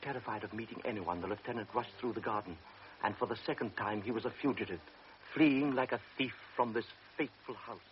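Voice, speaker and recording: A male narrator tells the story in a measured, dramatic way, his voice sitting fairly low. The sound is dull and muffled, like an older radio broadcast. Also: a little hiss or hum.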